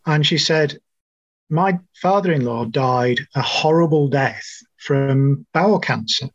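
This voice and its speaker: A middle-aged man with a New Zealand accent, a nurse guest telling his story in a measured, thoughtful way. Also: fairly high pitch, no echo, dull audio. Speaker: interview guest, registered nurse. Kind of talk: conversational interview storytelling.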